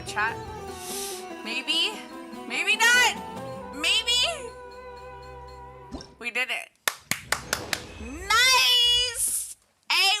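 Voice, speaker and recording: A woman's voice: very high voice, pushed and bright. A woman livestreaming a game, chatting casually with her viewers in an exclamatory way. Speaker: game streamer. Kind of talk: casual livestream gameplay chat. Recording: game music behind voice. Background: video game music.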